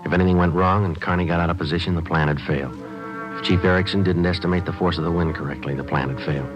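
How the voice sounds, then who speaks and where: An actor playing a stoic police detective in a 1950s radio drama, terse, deadpan and matter-of-fact. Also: male voice, low voice, quick pace, over music, natural voice. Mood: deadpan, tense. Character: stoic police detective, clipped and businesslike, narrating his case. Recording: dull old 1950s radio recording.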